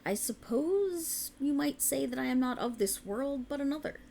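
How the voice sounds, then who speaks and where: A woman voicing a proud, otherworldly fae ruler who speaks slowly and grandly, drawing the listener close in a roleplay audio drama. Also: high voice, lively intonation, gravelly, mellow, a little hiss or hum. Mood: mysterious. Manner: slow, grand and intimate, addressing the listener closely.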